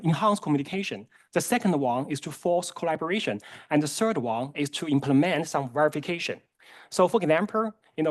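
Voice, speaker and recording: A man whose first language is Chinese, introducing his organization formally, with frequent fillers and non-native phrasing. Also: a little high. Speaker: centre director.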